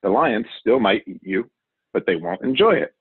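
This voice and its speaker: Male reader as a showy, reckless TV adventurer for a children's storybook; husky, low, cheerfully overconfident. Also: big pitch swings, normal speed, no echo, exaggerated voice. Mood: confident, instructive. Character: wild, boastful male TV survival show host. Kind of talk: children's storybook character reading. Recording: narrow, phone-like audio.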